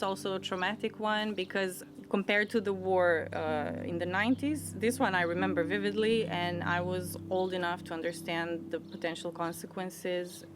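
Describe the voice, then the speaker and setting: Reflective Serbian woman over music. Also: medium pitch. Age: 30s. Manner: reflective, thoughtful, with frequent restarts and soft laughs. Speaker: interviewee. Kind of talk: personal storytelling.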